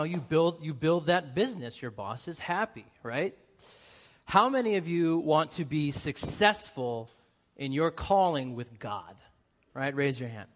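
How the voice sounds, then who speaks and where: Upbeat Boston-tinged male preacher. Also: a little high. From New England, US. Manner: energetic, joking with the congregation. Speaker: guest preacher. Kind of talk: lively church sermon.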